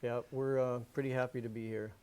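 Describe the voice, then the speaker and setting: A man's voice: medium pitch, little pitch movement, fairly quick, dark tone, smooth. A man chatting casually as an interview guest, with an understated, dry manner. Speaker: magazine publisher, interview guest.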